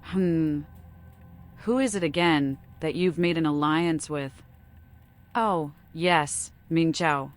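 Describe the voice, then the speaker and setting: A woman's voice: low voice, slow pace, faint music behind. A woman voicing a mad, childlike young vampire in a video game, speaking in giddy, cryptic, singsong riddles. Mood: sly, cryptic.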